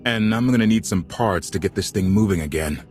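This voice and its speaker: A man's voice: fairly low pitch, a little fast, darkish tone, a little hiss or hum, natural voice. An actor playing an eager, idealistic male starship pilot who talks informally and with enthusiasm in a sci-fi video game. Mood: casual confidence.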